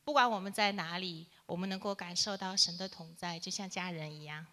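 A middle-aged woman interpreting a sermon live, halting and piecing it together in short phrases. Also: fairly low pitch, pushed and bright.

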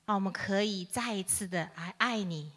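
Bright-voiced woman interpreting a sermon. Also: medium pitch, normal speed. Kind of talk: live consecutive interpreting in short phrases.

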